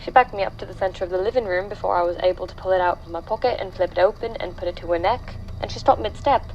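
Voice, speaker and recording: A woman playing a frightened, grieving young woman giving a halting, earnest statement in a horror audio drama. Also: medium pitch, a little mellow, muffled recording, a little hiss or hum, close to an everyday voice. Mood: haunted, subdued. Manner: halting, haunted, earnest, with hesitant restarts.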